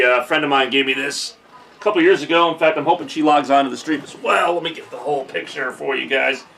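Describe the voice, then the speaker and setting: A young man casually hosting a live holiday stream from a decorated room at home, joking and thinking out loud with lots of fillers, recorded on a camcorder mic. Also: medium pitch. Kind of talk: casual live-stream chat reading viewer comments.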